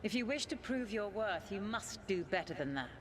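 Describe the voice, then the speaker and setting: A woman playing an ancient, proud elven goddess spirit in a video game, speaking formally and with haughty, deliberate command. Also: medium pitch, animated, background noise, lightly acted. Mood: imperious, challenging. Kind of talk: dramatic video game acting.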